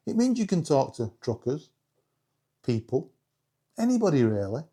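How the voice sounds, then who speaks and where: A man's voice: on the high side, big pitch swings, normal speed, mellow, slightly rough. A man presenting a casual, chatty vlog, talking straight to viewers in a self-deprecating, jokey way. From England. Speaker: hobbyist video creator and host.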